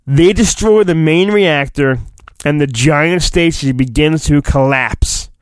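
A man's voice: fairly high pitch, takes it slowly, no echo. A man hosting a podcast, giving a mock-dramatic reading of a children's storybook and breaking off into cheeky, joking asides. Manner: theatrical, juvenile innuendo, breaks off into asides. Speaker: podcast host.

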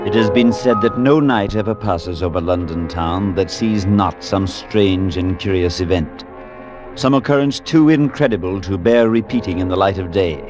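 An actor playing a polite, measured priest in a 1940s radio drama. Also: male voice, deep voice, lo-fi sound, over music, natural voice. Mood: solemn, ominous. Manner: measured, polite, solemn when narrating. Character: courteous, thoughtful male priest recounting a strange tale. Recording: vintage 1940s radio recording.

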